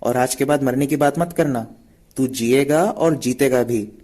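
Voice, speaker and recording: A man's voice: mid-range voice, unhurried, warm tone, a little hiss or hum, lightly acted. An Indian man playing an earnest, supportive young friend in a drama. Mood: concerned, encouraging. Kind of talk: amateur drama acting.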